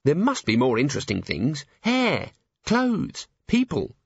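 A man's voice: on the high side, wildly swooping pitch, a little slow, vocal fry, slightly rough, dry recording, highs cut off, voice pushed up, exaggerated voice. A man voicing a timid, earnest young female dwarf officer in an audiobook, eager and deferential. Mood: shy, curious.

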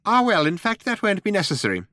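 A man voicing a small, brisk, acid-tongued talking mouse for an audiobook. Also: sits high, wildly swooping pitch, sharp tone, dry recording, voice pushed up, heavily acted. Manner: acidic, brisk, interrupting. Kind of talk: audiobook character voice.

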